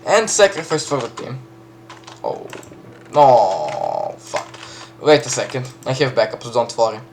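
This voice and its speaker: A man with a Serbian accent casually walking through a game map he built, chatty, full of fillers and quick self-corrections. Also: medium pitch, sing-song, slight background noise. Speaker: map creator giving a tour. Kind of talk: casual gameplay walkthrough.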